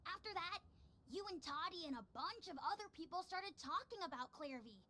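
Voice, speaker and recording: A woman's voice: very high voice, sharp tone, a little hiss or hum, exaggerated voice. A woman voicing a loyal young child in a video game who reports on others in a matter-of-fact tone. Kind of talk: video game story dialogue.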